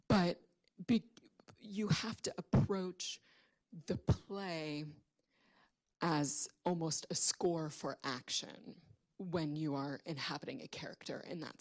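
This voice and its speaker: A woman with a low voice speaks slowly and deliberately as a panelist. She reflects on performance without a script, often feeling her way toward the right word. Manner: deliberate, searching for words. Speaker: actor and writer speaking on a panel. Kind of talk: unscripted reflective talk about performance. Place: public library event before an audience.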